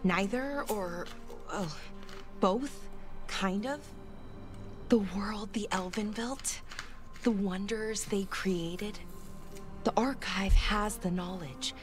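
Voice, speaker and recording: An actress plays a young female elf scholar from a fantasy video game. She is earnest and torn, choosing her words haltingly and thoughtfully. Music plays underneath. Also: mid-range voice, close to an everyday voice. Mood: conflicted. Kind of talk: dramatic video game dialogue.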